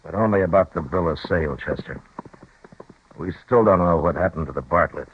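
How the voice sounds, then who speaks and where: An actor playing a steady, brooding frontier marshal, calm and measured, in a 1950s radio drama. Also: male voice, sits low, a little hiss or hum, close to an everyday voice. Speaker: town marshal. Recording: dull old radio broadcast.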